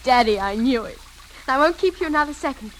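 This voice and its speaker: An actress playing a sweet, affectionate young adult daughter with gushing phrases, in 1940s radio drama style. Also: female voice, high-pitched, expressive, brisk, slight background noise, low-quality recording. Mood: fond, playful.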